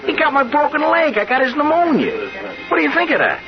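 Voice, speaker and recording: An actor playing a cocky, restless young American working-class schemer in a 1970s radio drama, informal and pushy. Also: male voice, extremely high pitch, sing-song, fast. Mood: eager, pushy. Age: young adult. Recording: dull vintage radio recording, music behind.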